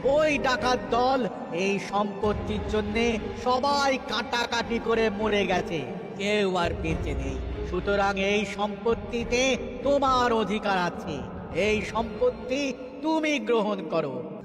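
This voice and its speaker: A solemn guardian spirit of a cartoon treasure cave, speaking in slow, grave pronouncements. The voice sits high and rings in long reverb over music. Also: male voice, lively intonation. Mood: grave, moralizing. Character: solemn male yaksha spirit freed after guarding treasure. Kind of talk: cartoon voice acting.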